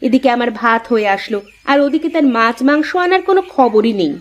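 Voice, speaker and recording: Cartoon village wife, female actor: resentful, sharp-tongued, fairly high voice. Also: lively intonation, brisk, dull audio, natural voice. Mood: demanding, complaining. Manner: scornful, complaining. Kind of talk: cartoon voice acting.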